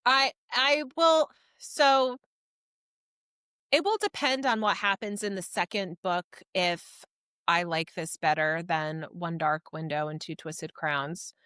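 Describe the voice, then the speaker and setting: A woman co-hosting a podcast, talking casually about books in a measured, conversational way. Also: fairly low pitch, pushed and bright, clean tone, no echo, studio quality. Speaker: podcast co-host. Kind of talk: casual book review chat.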